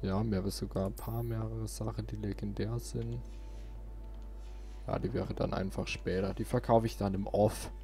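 A man's voice: fairly low pitch, level tone, normal speed, warm tone, soft background music. A man giving casual, chatty let's play commentary, thinking aloud to his viewers. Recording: noisy, game sound behind the voice. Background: video game sound effects and ambience.

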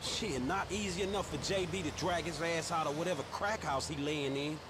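A man's voice: on the high side, a little fast, natural voice. A man playing an exasperated, reluctant young streetwise guy in a video game, grumbling in profane slang. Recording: loud car and street noise. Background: loud car engine and street ambience.

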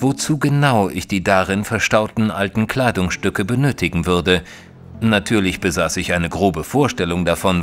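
A man playing a reserved Victorian gentleman, a detective's companion, narrating an audio drama in a measured, formal way. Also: fairly low pitch, lightly acted.

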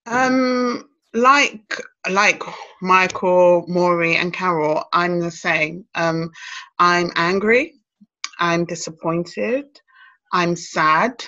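Woman, 30s, earnest, tired, slow, dull call sound. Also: on the low side, sharp tone. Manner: earnest, weary. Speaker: panel guest. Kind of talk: video call discussion.

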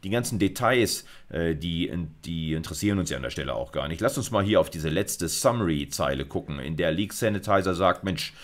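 A man's voice: low-pitched, lively intonation, fairly quick, few pauses. A middle-aged man giving an informal video lecture, explaining conversationally with colloquial asides. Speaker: university lecturer. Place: indoors at a computer, recorded lecture.

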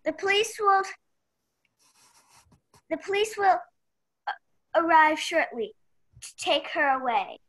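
A young woman playing an eager, flustered rookie detective in a radio play, halting and unsure but insistent. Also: very high-pitched, animated, a bit bright, full character voice. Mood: hesitant. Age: young adult. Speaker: actor in a youth theatre radio play.

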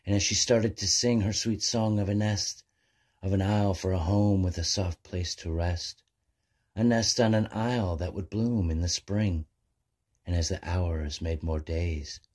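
A man narrating a rhyming children's bedtime story in measured, sing-song verse. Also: low voice, steady tone, a little mellow, breathy edge.

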